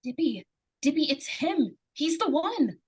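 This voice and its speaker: A woman's voice: sits high, big pitch swings, unhurried. A woman playing a plucky, anxious, insistent little girl in an old-time radio suspense play. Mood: urgent, alarmed.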